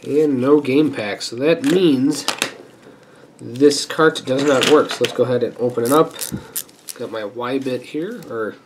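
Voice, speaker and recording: A man's voice: medium pitch, unhurried. Guy with a stuffy nose doing a laid-back repair walkthrough, talking as he works, faint room hum. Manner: relaxed and chatty, thinking aloud while working. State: stuffy from a sinus infection. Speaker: repair channel host. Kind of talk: casual hands-on repair walkthrough. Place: indoor workbench.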